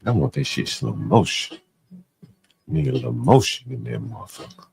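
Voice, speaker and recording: A man's voice: medium pitch, moderate pace, creaky, darkish tone, a little hiss or hum. An American man hosting casual reaction commentary with co-hosts, talking in profane, slangy street speech.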